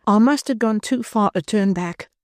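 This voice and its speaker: A woman's voice: mid-range voice, normal speed, a little mellow, no room sound, studio quality, voice pushed up, close to an everyday voice. A woman voicing a desperate, frightened young woman of the streets in an agitated, pleading way.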